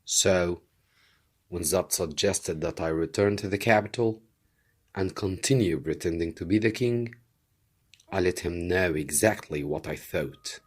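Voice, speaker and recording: A man reading a scripted story aloud as a teacher, with dramatic, deliberate phrasing. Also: low voice, slightly rough.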